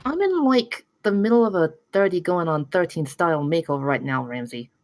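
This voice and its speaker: A woman's voice: lowish voice, animated, fairly quick, darkish tone, no echo, clean studio sound. A woman reading the part of a stubborn, pretentious young campus security officer at a script table read. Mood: affected.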